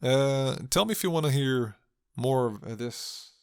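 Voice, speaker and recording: A man's voice: mid-range voice, expressive, unhurried, smooth, no room sound, studio quality. A man talking casually to his ASMR listeners in a hesitant, musing, rambling way. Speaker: host of an ASMR roleplay audio channel.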